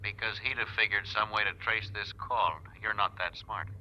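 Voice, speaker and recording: Sharp-edged, menacing low-voiced crook. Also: male voice, fairly even intonation, normal speed, slight background noise. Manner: curt, threatening. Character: menacing, commanding male anonymous crook on telephone. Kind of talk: 1940s radio acting. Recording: dull, lo-fi 1940s radio recording.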